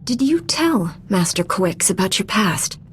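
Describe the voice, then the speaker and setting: Composed, firm Jedi master. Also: female voice, mid-range voice, unhurried, slight background noise. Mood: supportive. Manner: calm, authoritative, direct. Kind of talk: scripted video game dramatic acting.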